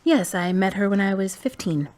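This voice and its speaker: An actress playing a calm, wise young woman in her twenties from the United States, who talks bluntly and teases dryly. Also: mid-range voice, moderate pace, a little hiss or hum, lightly acted. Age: 20s. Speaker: friend and love interest. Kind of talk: amateur web drama acting.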